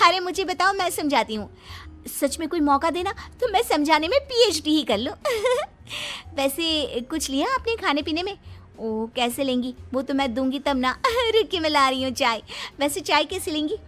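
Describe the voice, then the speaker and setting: A woman's voice: high-pitched, expressive, normal speed, a bit bright, faint music behind. A young woman voicing a talkative, bossy but caring teenage big sister who scolds and fusses in a moral story. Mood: chirpy, chatty. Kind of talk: acted moral story dialogue.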